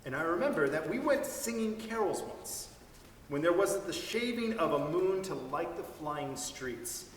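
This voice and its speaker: A man giving an expressive, measured dramatic reading of a literary story. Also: fairly high pitch. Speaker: storyteller reader. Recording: echoey hall, distant mic, faint noise.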